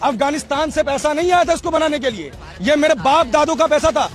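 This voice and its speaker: High-pitched man debating insistently. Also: lively intonation, fairly quick. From Rajasthan, India. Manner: insistent, lecturing, repeats questions. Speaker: street debater. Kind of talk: impassioned street debate, question and answer. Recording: music behind the voice. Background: music.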